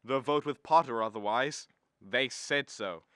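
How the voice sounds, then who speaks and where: An earnest male board member played by a young man in a radio play. He speaks slowly and insistently in a bright, dry-recorded voice. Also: mid-range voice, no room sound. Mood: urgent. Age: young adult. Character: earnest doctor serving on a company board. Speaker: student actor. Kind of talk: radio drama acting.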